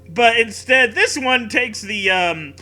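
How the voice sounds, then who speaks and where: Male comedy host, very bright, lively swooping delivery, sarcastic story-reading with laughter. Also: very high-pitched. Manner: animated, sarcastic, often laughing through words. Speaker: podcast co-host. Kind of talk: comedic riffing while reading fan fiction aloud.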